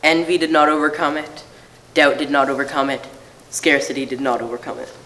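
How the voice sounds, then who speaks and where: A man's voice: a little high, flat delivery, fairly quick, sharp tone. A man giving a scripted poetic reading at a church service, heard with long reverb. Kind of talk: scripted poetic reading, shared among readers.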